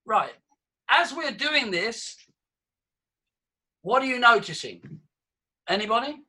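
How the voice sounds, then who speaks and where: A man's voice: extremely high pitch, sing-song, slow pace, sharp tone, a little hiss or hum. A man leading a voice workshop, demonstrating breathing and vocal sounds for his students. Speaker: voice coach. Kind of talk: live workshop teaching.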